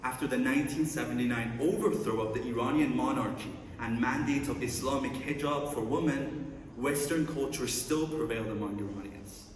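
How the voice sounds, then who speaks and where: A man's voice: medium pitch, moderate pace, a little hiss or hum. Iranian-man in an echoey lecture hall. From Iran. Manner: upbeat, playful asides between read-out slide points. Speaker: student researcher presenting. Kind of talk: conference presentation. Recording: echoey hall, mic at a distance.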